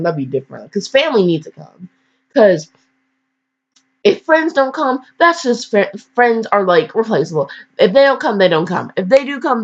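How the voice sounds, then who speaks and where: A woman's voice: on the high side, a bit bright. Woman in a dull, lo-fi recording, rambling bluntly. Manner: slangy, blunt, digressive, mock-scolding. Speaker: host reading fan fiction aloud. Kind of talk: casual reading with rambling commentary.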